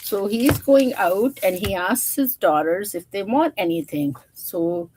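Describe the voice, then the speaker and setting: A woman's voice: mid-range voice, expressive, a little slow, noisy background. A woman storyteller reading a children's picture book aloud, with informal, chatty asides to her listeners.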